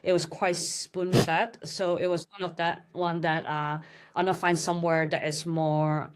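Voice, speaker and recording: A woman's voice: fairly low pitch, level tone, measured pace, clear, resonant tone. A young woman from Hong Kong, a guest in a casual interview, talking thoughtfully with frequent fillers and restarts.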